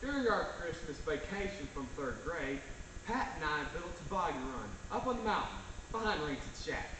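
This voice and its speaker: A man's voice: a little high, sing-song, normal speed, far from the mic. A young man giving a scripted, dramatic story narration as part of a reader's theater performance. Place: large echoey room, school performance. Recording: echoey, noisy stage recording from afar.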